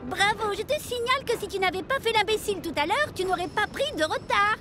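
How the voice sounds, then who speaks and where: A woman's voice: very high-pitched, lively intonation, a little fast. A woman voicing a fussy, scolding female railway coach in a children's cartoon. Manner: scolding, complaining. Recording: loud music behind the voice.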